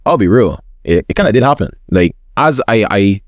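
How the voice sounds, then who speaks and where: A man's voice: fairly low pitch, animated, a little fast, dry recording, studio quality. A young man as an interview guest, chatting openly in slangy talk with many repeated words. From school system with forms. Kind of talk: casual interview chat.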